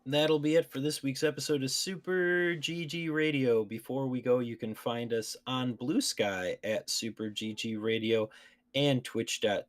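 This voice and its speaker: A man hosting a casual gaming podcast, chatting informally with his co-hosts. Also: medium pitch. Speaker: podcast host.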